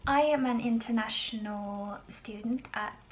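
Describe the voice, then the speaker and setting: A woman, giving a casual, informative vlog in a halting way with drawn-out pauses. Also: a little high, low-quality recording. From Norway. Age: 20s. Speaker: student video blogger.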